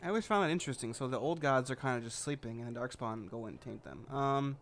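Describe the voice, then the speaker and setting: A man's voice: medium pitch, lively intonation, fast, a bit bright, slight background noise. A man giving casual, offhand commentary as he plays a video game, with a sarcastic streak. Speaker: gamer, let's play host.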